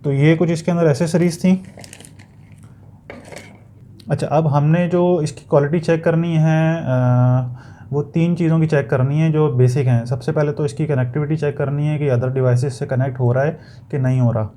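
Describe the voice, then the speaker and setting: A Pakistani man with a soft, mellow tone and a slightly high pitch talks through a product in a casual review style. He explains step by step, with some hesitant repetition. Some background noise sits behind his voice. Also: steady tone, moderate pace. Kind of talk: casual unboxing and review narration.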